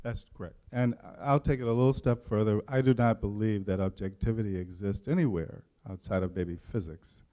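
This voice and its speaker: Low, dark-voiced man on a dull recording. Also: steady tone, a little fast, few pauses. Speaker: news editor on a panel. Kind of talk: panel discussion.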